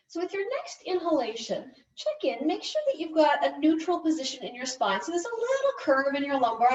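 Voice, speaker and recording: A woman instructor calmly guiding gentle yoga and relaxation in an unhurried, soothing way. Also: high voice. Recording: roomy, distant, slightly muffled class recording.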